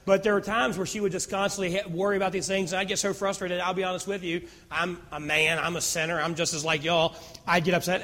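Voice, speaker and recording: A man preaching a sermon as pastor, with a naturally loud voice that swings from fervent emphasis to hushed near-whispers. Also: high-pitched, in a large hall.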